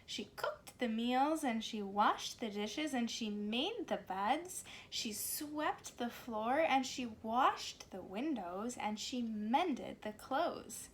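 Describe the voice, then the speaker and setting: Slow, animated woman reading to children. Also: fairly high pitch. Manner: expressive, simple explanations for young children. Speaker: speech pathologist reading a children's story. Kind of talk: children's storybook read-aloud. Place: cozy corner at home. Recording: faint background noise, home recording.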